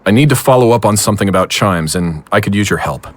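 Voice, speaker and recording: A man's voice: fairly low pitch, animated, quick pace, a little hiss or hum, close to an everyday voice. A man acting a weary but determined investigator in an audio drama, with a wry manner. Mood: exasperated. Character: weary, determined male investigator of strange happenings.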